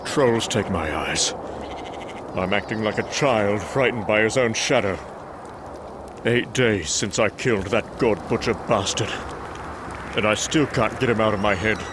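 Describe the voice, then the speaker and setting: A man's voice: fairly low pitch, measured pace, pauses often, background noise, natural voice. A man playing a proud, brash Norse thunder god in a motion comic, with bold, archaic, theatrical diction. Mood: troubled, brooding. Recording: music behind the voice.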